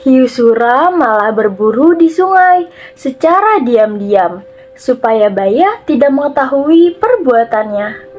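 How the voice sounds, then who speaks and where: A woman's voice: high voice, very animated, slow pace, a little mellow, faint music behind. A young woman reading a scripted children's fable as narrator. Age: young adult. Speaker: student storyteller.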